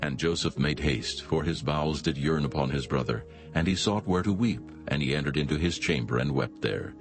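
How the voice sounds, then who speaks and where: A man's voice: very deep voice, fairly quick. A man giving solemn scripted narration of scripture. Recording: music behind the voice.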